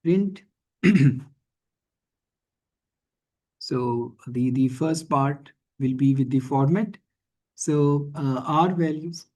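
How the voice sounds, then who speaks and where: A man teaching in a screencast tutorial, thinking aloud haltingly and often checking in with a questioning tag. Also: on the high side, a little mellow. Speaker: instructor. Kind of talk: screencast programming and statistics tutorial.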